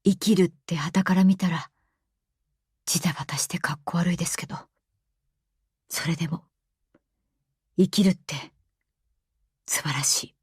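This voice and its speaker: A man's voice: on the high side, steady tone, measured pace, long pauses, a little mellow, husky, no echo, studio quality. A woman reading the part of an earnest, awkward, polite man in a radio drama. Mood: earnest, passionate. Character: earnest awkward man passionate about animals, hesitant in love.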